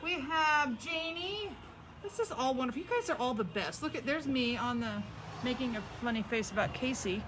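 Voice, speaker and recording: A woman's voice: high-pitched, animated, noisy background. A woman co-hosting a live show from a small shop, chatting casually in a teasing, wry way. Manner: teasing and wry, drawn-out emphasis. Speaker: co-host of a live store show. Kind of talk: casual chat.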